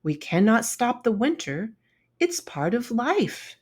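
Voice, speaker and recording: A woman voicing a kindly, soft-spoken old male stag beetle in a children's storybook read-aloud. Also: a little high, very animated, takes it slowly, breathy edge, pitched above the performer's own voice, heavily acted. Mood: gentle, reassuring. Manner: gentle, soft-spoken, grandfatherly.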